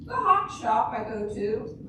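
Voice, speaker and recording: A woman's voice: fairly high pitch, big pitch swings, unhurried. An older woman in an amateur readers' theater playing a naive, blunt, literal-minded housewife and mother. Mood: earnest recounting. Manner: literal-minded, plain, ungrammatical phrasing. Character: naive, blunt housewife and mother, matter-of-fact about shooting husband. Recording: distant, very echoey, noisy room.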